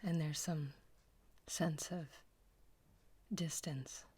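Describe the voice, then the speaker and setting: A woman guides a meditation in a low, breathy half-whisper. Her voice stays even and unhurried, with little rise or fall. She repeats her phrases slowly and gently. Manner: slow, soft, gentle repetition.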